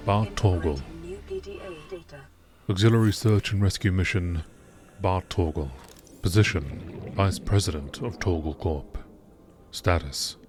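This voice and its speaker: A man reading scripted lore in a nature documentary narration style, with measured, deliberate phrasing. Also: sits low, a little mellow. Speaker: video creator reading game lore aloud. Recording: clearly noisy background.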